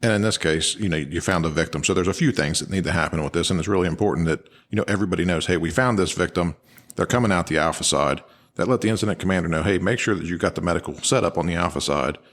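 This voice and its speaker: Low voiced guy cohosting a podcast, breaking stuff down in a casual explainer way. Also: fast talker, fairly bright tone. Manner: explanatory, informal. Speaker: podcast co-host. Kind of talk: conversational analysis of firefighter radio traffic.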